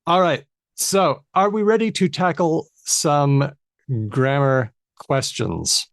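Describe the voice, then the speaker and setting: A man's voice: a little high, animated, takes it slowly, dry recording, clean studio sound. A man hosting a live podcast, upbeat as he keeps the show moving along. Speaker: podcast host.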